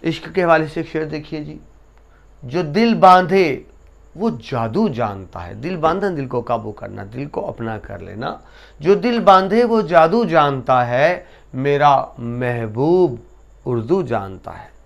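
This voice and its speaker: A man giving a lesson to students, explaining essay writing and reciting couplets slowly with emphasis. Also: fairly high pitch, sing-song, vocal fry. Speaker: teacher.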